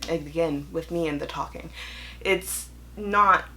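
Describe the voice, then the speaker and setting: Low-pitched young woman, chatty and candid. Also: slow pace, sharp tone, slight background noise, poor audio. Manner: conversational and candid, self-correcting, mimics imagined voices. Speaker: book reviewer and video host. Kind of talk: casual chatty vlog monologue.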